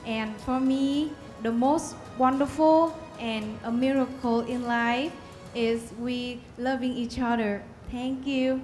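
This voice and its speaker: A twenty-year-old woman from Vietnam, a non-native English speaker, giving a nervous, halting self-introduction as a pageant contestant. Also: on the high side, steady tone, darkish tone, faint music behind. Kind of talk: prepared self-introduction speech.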